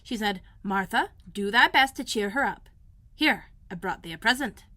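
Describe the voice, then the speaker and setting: A woman's voice: mid-range voice, animated, moderate pace, sharp tone, dry recording. A woman reading aloud and voicing a cheerful, chatty young housemaid.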